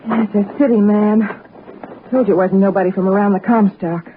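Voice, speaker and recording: A woman's voice: mid-range voice, steady tone, moderate pace, slight background noise, lightly acted. An actress playing a tough, plain-spoken young ranch woman with a Western American accent in a 1960s radio drama. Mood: commanding, wary. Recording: muffled narrow-band old radio recording.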